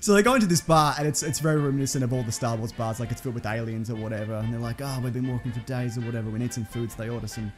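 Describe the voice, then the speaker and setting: A man's voice: medium pitch, fairly even intonation, very fast, hardly any pauses. Give me an irreverent man over background music. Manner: irreverent, rambling, acts out characters' lines casually. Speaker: comedy podcast host retelling a story. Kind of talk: casual, jokey recap chat.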